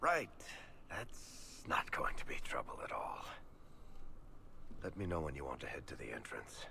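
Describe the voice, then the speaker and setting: A man acting a worried, wry dwarf rogue in a fantasy video game, with a gravelly voice and dry understatement. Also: rumbling low, sing-song, unhurried, whispered, heavily acted. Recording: clear background noise.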